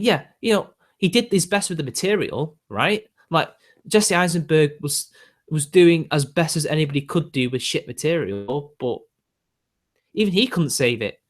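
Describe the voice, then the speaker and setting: A British man in his twenties with a young-sounding voice, chatting casually and ranting to his viewers with lots of fillers. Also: on the high side. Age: 20s. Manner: ranty, exasperated, filler-heavy. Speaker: YouTube host.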